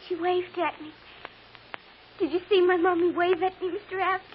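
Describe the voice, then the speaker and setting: A woman's voice: very high voice, flat delivery, normal speed. A female performer playing a small, eager, pleading girl in a radio drama. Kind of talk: 1950s radio drama acting. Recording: dull noisy old radio recording.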